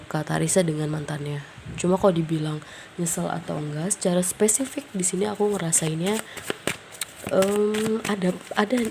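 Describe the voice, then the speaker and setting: A woman reads tarot cards in a casual, unhurried way, her voice low and gravelly with a fried edge. A cold has left her stuffy and nasal. She muses aloud with plenty of hesitant fillers, and a faint hiss sits under the recording. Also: darkish tone. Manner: musing, thinking aloud with frequent fillers; mixes in English words. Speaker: tarot reader.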